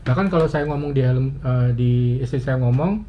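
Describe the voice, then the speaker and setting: A man giving a casual step-by-step demonstration, talking in a halting way as he thinks aloud with frequent pauses. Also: medium pitch. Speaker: motovlogger giving a gear tutorial. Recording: muffled, dull audio with clear ambient noise.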